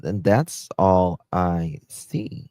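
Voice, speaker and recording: A middle-aged man with a Southern US accent, hosting a casual livestream into a close desk mic in a halting, unhurried way. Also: low voice, very animated, dark tone, no echo. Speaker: livestream host. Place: small room at home, indoors. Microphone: close desk microphone.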